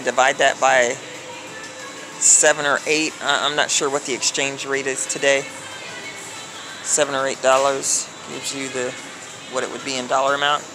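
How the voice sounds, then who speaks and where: A middle-aged American woman chatting casually and thinking aloud as she records a shopping vlog on a handheld phone. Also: sits low. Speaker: vlogger. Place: supermarket aisles with humming coolers. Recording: noisy background hum, handheld phone.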